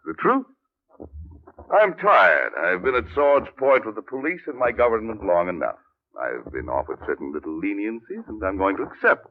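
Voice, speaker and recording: An actor playing an aging, tired and wily crime boss with a gravelly, husky voice, emphatic in an old radio drama style. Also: male voice, fairly low pitch, sing-song, moderate pace. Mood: insistent, weary. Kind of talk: 1940s-50s radio acting. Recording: muffled, narrow-band old radio recording.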